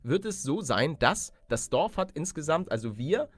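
A man's voice: a little high, sing-song, moderate pace. Casual man hosting gaming videos. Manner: informal, chatty, youthful slang. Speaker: let's-play host. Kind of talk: casual gaming commentary, addressing viewers.

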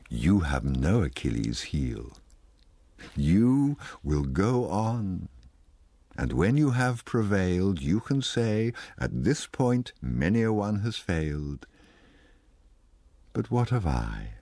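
A man voicing a wistful, insistent ageing society lady from a poem, halting and yearning. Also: low voice, mellow, breathy edge, no echo, heavily acted.

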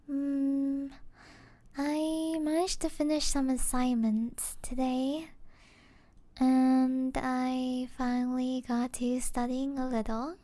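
A woman playing an affectionate, gently flustered young gamer girlfriend in a soft-spoken, hesitant ASMR roleplay, often slipping into breathy murmurs. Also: sits high, no room sound.

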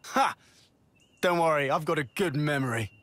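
An actor with a British accent playing a hot-headed, brash young soldier in a video game. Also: male voice, on the high side, expressive, normal speed, a bit bright, no echo. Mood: gruff, easygoing.

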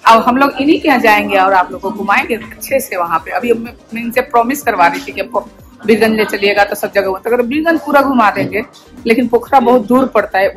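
A woman's voice: on the high side, poor audio. Chatty grandmother in her sixties. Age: 60s. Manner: chatty, talks to viewers and the grandchild. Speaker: vlogger, retired grandmother. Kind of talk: casual family vlog narration. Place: home and shopping mall, indoors. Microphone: phone held at arm's length.

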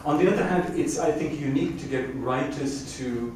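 A man's voice: medium pitch, normal speed, a little mellow, far from the mic. Academic male moderator in an echoey hall. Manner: thoughtful, academic, asks framing questions. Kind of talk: interview questions. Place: lecture room on a stage. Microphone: handheld mic. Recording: echoey room, recorded from afar, faint noise.

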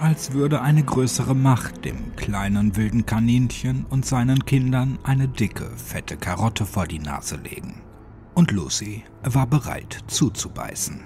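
A man narrates a scripted horror story in audiobook style. His voice sits at a medium pitch. Music runs underneath the reading. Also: a little mellow.

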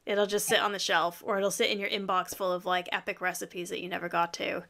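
A woman's voice: lowish voice, flat delivery, fairly quick, creaky, fairly bright tone. Woman, 30s, American accent, chatty interview guest, laughs often, home office, video call. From lives in Yorkshire, UK. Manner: chatty, laughs often, gestures while talking. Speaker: guest herbalist. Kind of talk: casual interview chat. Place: home office with framed flower print.

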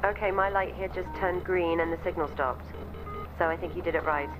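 An actor playing a calm male coworker at a radio listening station, heard from a distance as in-game dialogue. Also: on the high side, fairly quick, over music, close to an everyday voice. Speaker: radio operator colleague in the game.

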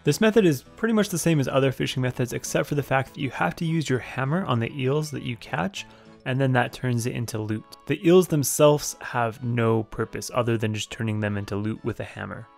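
A man's voice: medium pitch, fairly quick, creaky. A young man narrating a video game tutorial in a plain, matter-of-fact way, with the odd "uh". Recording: faint background music.

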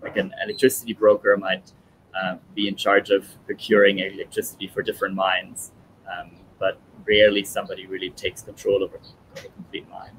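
A man's voice: low voice, completely flat delivery, a little fast, a bit bright, a little hiss or hum, rough recording. A man in his thirties, born in Italy and raised in German-speaking Europe, answering interview questions thoughtfully over a video call with many fillers. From born in Italy, grew up in German-speaking Europe. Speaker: guest. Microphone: headphones on video call.

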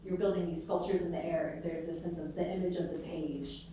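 A woman's voice: on the low side, steady tone, fairly quick, mellow, distant mic, a little hiss or hum. Woman asking a winding question in an echoey room. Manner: long, winding question with many "um"s. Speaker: audience member asking a question. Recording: echoey room picked up from afar.

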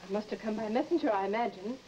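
A woman's voice: medium pitch, fast talker, mellow, highs cut off, natural voice. A woman playing a cool, deferential yet defensive housemaid in an old-time radio horror drama. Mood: evasive. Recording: old radio recording with faint hiss.

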